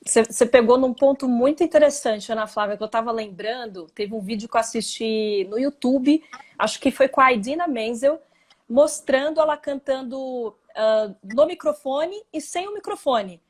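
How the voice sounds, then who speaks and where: Chatty high-voiced woman host. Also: moderate pace. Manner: chatty, animated. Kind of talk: live online interview.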